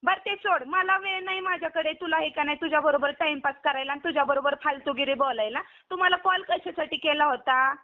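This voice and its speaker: A woman's voice: sits high, steady tone, brisk, few pauses. A woman argues on a phone call. She is scolding and confrontational, pressing her questions again and again. The recording is dull and lo-fi, as from a recorded call. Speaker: online video performer receiving a prank call. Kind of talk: heated phone argument.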